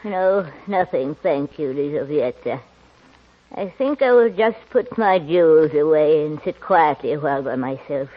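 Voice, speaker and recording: A woman's voice: low-pitched, lively intonation, measured pace. A woman playing a weary, fearful old countess in a 1940s radio drama, with an aristocratic, dramatic delivery. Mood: weary, gentle. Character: weary, frightened old countess guarding a cursed secret. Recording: dull, noisy old radio recording.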